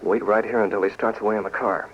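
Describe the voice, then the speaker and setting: Man playing a low, steady radio crime-fighter. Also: fast, natural voice. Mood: watchful. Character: steady, decisive masked crime-fighter hero, male. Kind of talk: 1940s radio acting. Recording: noisy muffled 1940s radio recording.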